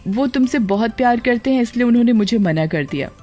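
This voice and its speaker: A woman's voice: fairly high pitch, lively intonation, brisk, mellow. A woman voicing a witch disguised as a bold young woman in a cartoon, coaxing and insistent. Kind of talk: Hindi cartoon story voice acting. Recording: background music.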